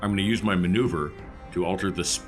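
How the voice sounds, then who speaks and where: A man's voice: deep voice, quick pace, soft background music. A man chatting casually as a player in a tabletop roleplaying game.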